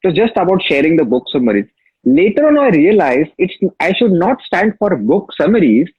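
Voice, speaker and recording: Husky Marathi-accented Indian man, emphatic. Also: sits high, big pitch swings, brisk, no room sound. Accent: Marathi-accented Indian English. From Maharashtra, India. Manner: emphatic, storytelling, repeats key phrases. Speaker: interview guest, app founder. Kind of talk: casual interview talk. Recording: dull lo-fi sound.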